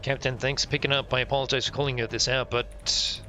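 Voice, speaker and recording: A man's voice: medium pitch, little pitch movement, quick pace, pushed and bright, natural voice. A man voicing an earnest, insistent police lieutenant from a visual novel, music behind him. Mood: apologetic. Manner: deferential, insistent. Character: earnest, apologetic police lieutenant pressing the boss.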